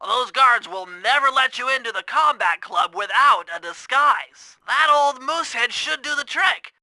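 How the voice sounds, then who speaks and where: Very high-pitched nerdy cartoon turtle genius. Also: male voice, lively intonation, measured pace, sharp tone, no echo, full character voice, poor audio. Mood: earnest, instructive. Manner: precise, fussy, technical briefing delivery. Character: nerdy, anxious young male turtle tech genius. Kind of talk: video game voice acting.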